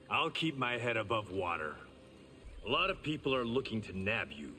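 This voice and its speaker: A man's voice: medium pitch, sing-song, measured pace, sharp tone, a little hiss or hum. An actor playing a tough, wry reformed criminal swordsman with dry, confident banter in a video game. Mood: defiant. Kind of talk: video game fight intro acting. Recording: long hall reverb.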